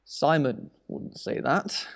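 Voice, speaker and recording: A man's voice: takes it slowly, natural voice. A man playing an anxious, stubborn younger brother and worried husband in a naturalistic audio drama, hesitant and earnest. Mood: subdued, reluctant.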